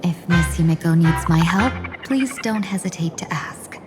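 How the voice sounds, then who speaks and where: A woman's voice: lowish voice, expressive, normal speed, natural voice. A woman playing a devoted young warrior aide in a video game, courteous, earnest and deferential in her speech. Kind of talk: scripted video game acting. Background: game music.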